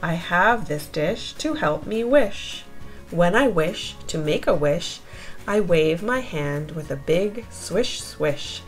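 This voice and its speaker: A proud, contented wishing creature from a storybook, read by a woman. It speaks in measured rhymes, slowly and with a lively melody. Gentle music is behind it. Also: fairly low pitch, low-quality recording. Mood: pleased.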